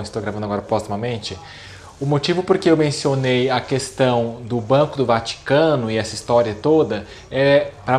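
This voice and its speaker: A man's voice: medium pitch, a little mellow. A man with a Brazilian Portuguese accent giving a casual religious lecture, talking in a loose, conversational way with many fillers and tag questions.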